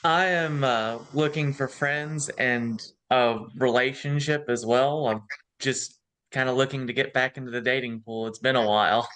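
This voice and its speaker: A man's voice: medium pitch, steady tone, normal speed, fairly bright tone. A man in his thirties, a dating show guest, talking plainly and easily. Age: 30s. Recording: faint background noise.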